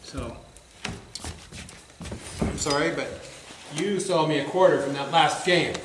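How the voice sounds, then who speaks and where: A man's voice: a little high, takes it slowly. A man playing a gullible, lovestruck, stubborn bar regular in a stage comedy, talking in a folksy, earnest, defensive way. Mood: stubborn, hurt. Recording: echoey room with background noise.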